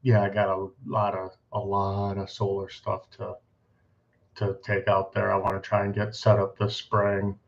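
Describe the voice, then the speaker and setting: A man's voice: fairly low pitch, completely flat delivery, a little slow, gravelly. A man co-hosting a live stream, chatting casually and joking. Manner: joking, easygoing. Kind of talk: casual chat. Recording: dull lo-fi stream audio.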